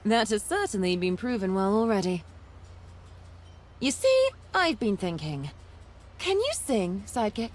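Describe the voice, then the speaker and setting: An actress playing a plucky, adventurous treasure-hunting game companion, jaunty and bossy in a theatrical way. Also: female voice, medium pitch, sing-song, a little slow, long pauses. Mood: eager, inquisitive. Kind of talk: video game companion dialogue.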